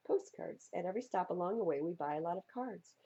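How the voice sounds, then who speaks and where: A woman reads a children's picture book aloud with playful, theatrical emphasis. Her voice is husky and mellow with some creak in it. The recording is slightly dull and lo-fi. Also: lowish voice, animated, fast. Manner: playful, theatrical, stresses and repeats words for young listeners. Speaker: library storytime reader. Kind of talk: expressive read-aloud of children's picture books.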